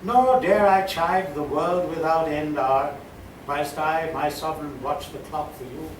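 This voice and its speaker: A man reciting Shakespearean sonnets as a poetry reader, with deliberate, dramatic phrasing and pauses. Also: on the high side. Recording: roomy, distant, clearly noisy live recording.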